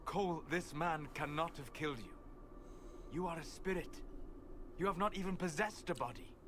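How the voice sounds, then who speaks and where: A man's voice: a little high, normal speed, background noise, close to an everyday voice. A man playing a stern, scholarly mage in a video game, speaking in a formal, precise, lecturing way. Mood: grave, insistent.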